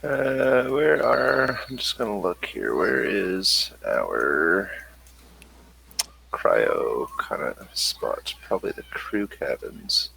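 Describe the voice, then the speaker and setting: A man's voice: lowish voice, lively intonation, slow pace, vocal fry, bright, forceful tone, slightly rough, low-quality recording. A man running a tabletop game as game master, thinking aloud as he looks up details for the players. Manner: thinking aloud while looking things up.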